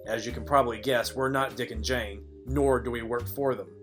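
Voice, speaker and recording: Casting: man to play world-weary private eye narrator for noir spoof audio play, mid-range voice, dry deadpan wit. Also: mid-range voice, moderate pace, over music, close to an everyday voice. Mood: wry, deadpan. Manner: deadpan, dryly wry. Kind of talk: film noir parody narration.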